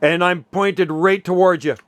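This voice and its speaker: High-pitched man chatting on radio. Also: fairly even intonation, measured pace, fairly bright tone, dry recording. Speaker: amateur radio operator. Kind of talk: casual radio chat, storytelling.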